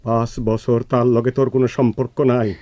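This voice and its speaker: A man's voice: medium pitch, level tone, fairly quick, warm tone, dry recording, close to an everyday voice. A man playing a protective, reproachful village brother in a Bengali radio drama, speaking a rural Bangladeshi dialect with earnest emotion. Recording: dull, lo-fi radio drama recording.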